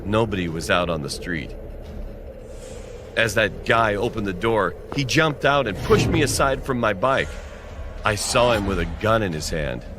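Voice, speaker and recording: A male narrator reads a scripted horror story in the first person. His pitch sits a little low but moves in a very lively way. Faint music plays behind him. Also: normal speed.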